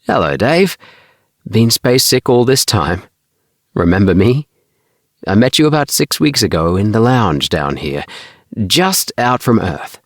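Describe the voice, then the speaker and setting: An eager young man travelling through space, voiced by a male audiobook reader. He is friendly and talkative, pitched up from the reader's deep register, in a dry, close recording. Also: sing-song, a little slow, pauses often, no echo. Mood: cheery greeting. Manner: chirpy, friendly.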